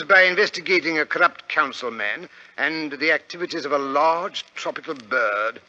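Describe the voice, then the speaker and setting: Bumbling friendly british sidekick, old radio show, really bright voice thats all over the place, hesitant and stammery, lo-fi sound. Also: male voice, on the high side, heavily acted. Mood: genial storytelling. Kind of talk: 1940s radio drama acting. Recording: dull, lo-fi vintage radio recording.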